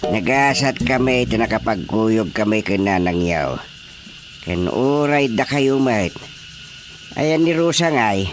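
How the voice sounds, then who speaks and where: An actor playing an excitable male frontline worker in a radio drama, with exclamatory, swooping delivery. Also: fairly low pitch, unhurried, music in the background. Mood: earnest, resigned. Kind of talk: Ilocano radio drama acting.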